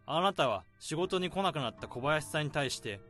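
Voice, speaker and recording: A man's voice: fairly high pitch, soft background music. A man doing a probing office-worker sleuth. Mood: accusing. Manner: calm, probing, deliberate. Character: sharp office worker turned detective, young man. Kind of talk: radio drama acting.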